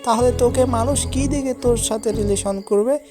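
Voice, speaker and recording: A man's voice: very high-pitched, moderate pace, voice pushed up. A man voicing a haughty young woman in a cartoon, cutting and insulting as she rejects a poor suitor. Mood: contemptuous. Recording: loud music under the voice.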